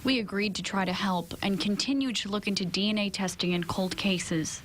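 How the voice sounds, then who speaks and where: A woman's voice: medium pitch, fairly even intonation, normal speed, a little hiss or hum. American woman reporter narrating TV news.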